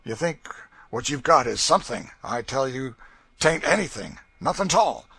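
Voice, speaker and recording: Here is a cruel, mocking master, as a male reader puts him on, loud and cutting, with a voice pushed higher than the reader's own. He taunts and threatens with open scorn in a coarse drawl. The tone stays bright and forceful throughout. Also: normal speed, vocal fry, breathy edge, no echo, exaggerated voice. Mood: taunting. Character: brutal, sneering male slave master.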